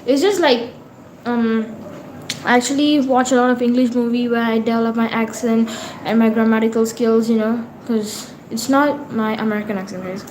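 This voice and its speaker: A woman with an Indian accent hosting a casual livestream, reading chat and tutoring math in a slow, halting way with many fillers. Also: fairly high pitch, fairly even intonation, darkish tone, distant mic. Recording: very echoey room, voice off-mic, noisy background.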